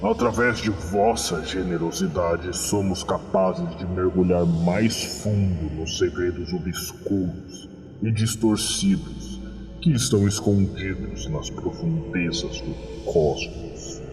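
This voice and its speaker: Guy doing a super deep solemn cult priest, horror podcast sermon, huge echo. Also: a little mellow. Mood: solemn, reverent. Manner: solemn, ceremonious, drawn-out delivery. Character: solemn cult priest of dark ancient gods. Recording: long cavernous reverb with music behind.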